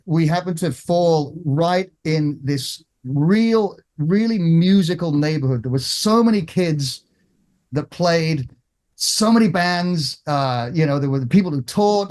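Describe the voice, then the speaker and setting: Man, from England, middle-aged, halting casual interview talk, fairly high voice. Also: a little mellow. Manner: halting, many restarts and fillers. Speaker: guest guitarist.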